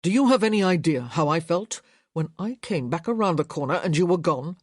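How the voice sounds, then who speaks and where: A woman voicing a stern, proud young gentleman in an audiobook, speaking firmly with controlled, clipped delivery. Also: low-pitched, lively intonation, measured pace, no room sound, studio quality. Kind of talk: audiobook character voice.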